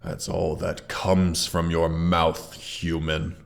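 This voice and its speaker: Mighty dragon, extremely low voice, very slow, haughty, effect-treated, audio roleplay. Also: male voice, little pitch movement, exaggerated voice. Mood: scornful. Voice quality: gravelly. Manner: pompous, condescending, deliberate theatrical phrasing. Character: proud, mighty male dragon, haughty yet grudgingly grateful. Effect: sound effects processing on the voice. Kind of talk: scripted character roleplay audio.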